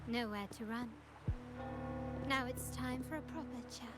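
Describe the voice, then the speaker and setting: An actress playing a poised, sharp, commanding crime-family heiress in a video game, formal, composed and deliberate. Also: female voice, a little high, lightly acted. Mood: coolly threatening. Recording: loud music behind.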